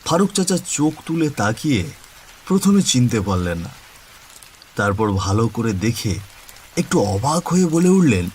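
A man's voice: medium pitch, big pitch swings, normal speed, long pauses, darkish tone, a little hiss or hum. A man narrating a scripted horror cartoon story in a dramatic storytelling style.

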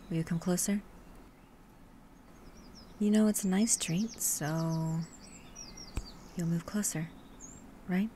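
A woman voicing a gentle, protective monster hunter in a soft-spoken ASMR roleplay, hesitant and coaxing, at times breathy and whispering. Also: on the low side. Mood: tender, coaxing. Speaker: voice actor. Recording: steady ambient noise with birdsong.

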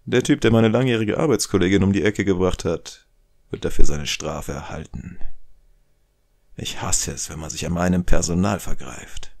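Guy doing an older italian mob boss, deep creaky voice, smooth and menacing, audio drama. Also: moderate pace. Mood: quietly threatening. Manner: smooth, condescending, quietly threatening. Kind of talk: German audio drama acting.

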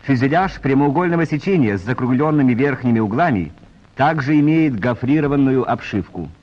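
A man reading scripted narration in a formal, measured announcer style, as in a 1940s training film. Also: medium pitch, animated, darkish tone. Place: voice-over recorded for a film. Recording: muffled vintage 1940s film soundtrack.

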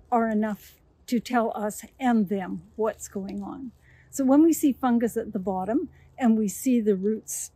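A woman's voice: fairly high pitch, warm tone, slightly rough, no room sound, low-quality recording. An older woman guiding a casual walking tour of her woodland outdoors, explaining what she observes, recorded by a camera a few meters away. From Canada. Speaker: woodlot owner giving a tour. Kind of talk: casual guided walk. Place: autumn forest outdoors.

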